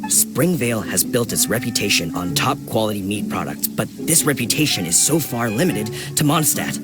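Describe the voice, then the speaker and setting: Lively, earnest hunters' leader. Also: male voice, on the low side, normal speed. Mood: eager. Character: brash, earnest village hunters' leader, grown man. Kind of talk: video game voice acting. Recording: game music behind.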